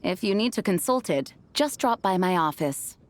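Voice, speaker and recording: A woman's voice: medium pitch, expressive, normal speed, close to an everyday voice. An actress playing a poised, dutiful female knight commander in a video game, speaking formally and courteously. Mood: kindly. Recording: background music under voice.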